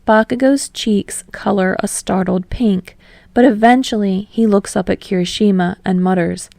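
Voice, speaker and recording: A female narrator reads fiction in a warm, relaxed voice. There is a noticeable creak in her tone. The recording is dry, with no room sound around her scripted delivery. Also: mid-range voice, normal speed, dry recording. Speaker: audiobook narrator.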